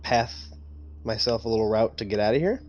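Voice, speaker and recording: A man playing in a tabletop roleplaying game, speaking casually and haltingly. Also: on the low side, muffled recording.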